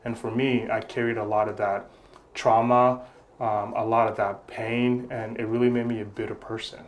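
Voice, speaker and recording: A man's voice: fairly low pitch, level tone, normal speed. A young adult man from Congo, answering interview questions in an earnest, reflective way and often repeating words while he searches for the next thought. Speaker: guest, nonprofit founder.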